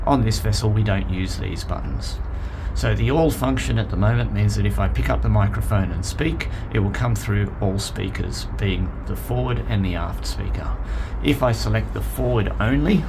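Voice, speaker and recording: A man with a gravelly, creaky voice walks through an equipment demonstration step by step. He is plain and methodical, like an instructor explaining controls. Clear ambient noise sits behind him inside a vessel. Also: on the low side.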